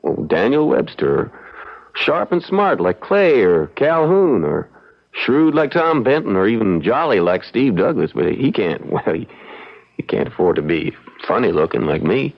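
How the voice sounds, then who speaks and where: A man playing a modest, self-deprecating young frontier lawyer in a radio drama, with a gravelly voice and halting, folksy, plain-spoken delivery. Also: on the low side, very animated, heavily acted. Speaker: actor. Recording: muffled radio drama recording.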